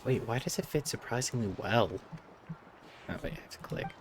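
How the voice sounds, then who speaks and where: A man's voice: medium pitch, animated, brisk, very noisy. A man giving casual, off-the-cuff commentary while streaming a game. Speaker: live streamer playing a game. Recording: game music under the voice. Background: game music.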